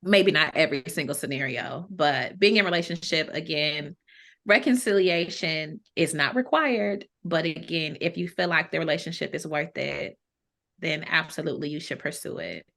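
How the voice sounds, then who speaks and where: Female podcast guest, bright forward tone, measured and conversational. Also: deep voice, gravelly. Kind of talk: casual discussion.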